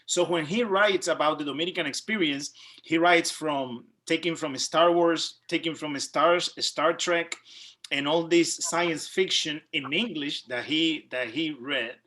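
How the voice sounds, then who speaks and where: Man, host, video call, a bit high-pitched, loose run-on phrasing. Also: unhurried, sharp tone. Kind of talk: conversational remarks at a video-call reading.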